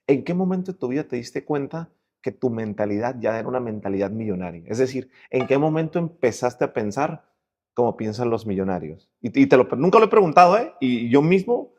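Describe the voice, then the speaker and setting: A man's voice: medium pitch, a little mellow. A man hosting a casual conversational interview, reflective as he rephrases and repeats his questions. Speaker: interview host.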